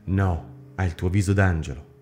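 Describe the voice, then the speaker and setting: An actor playing a jealous, cruel young husband in a radio drama, scornful and accusing. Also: male voice, low-pitched, normal speed, darkish tone, soft background music, lightly acted. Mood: tender. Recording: echoey community hall.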